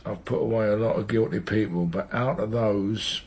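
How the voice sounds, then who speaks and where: An older man, an actor playing a weary, brooding veteran police detective with a dry, measured, probing manner in a radio drama. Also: on the low side, fairly even intonation, darkish tone, husky. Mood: brooding, intense. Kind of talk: naturalistic radio drama acting.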